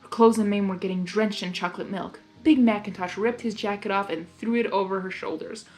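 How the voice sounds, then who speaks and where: A chatty, expressive woman narrating fan fiction as host and adding her own spontaneous reactions. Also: medium pitch, brisk, soft background music. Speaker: story reader and host.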